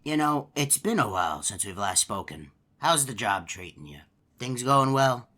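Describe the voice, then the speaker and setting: A man's voice: mid-range voice, big pitch swings, moderate pace, pushed and bright. A man voicing a chatty male hippo animatronic who tells a long folksy story, rambling with lots of uh and you know. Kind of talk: game character monologue.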